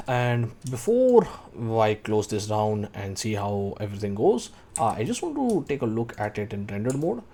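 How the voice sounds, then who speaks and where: A man's voice: fairly low pitch, a little mellow. A man in his thirties teaching 3D modeling, thinking aloud as he works and calling out keyboard shortcuts. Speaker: 3D modeling tutor. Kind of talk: screen-recorded software tutorial.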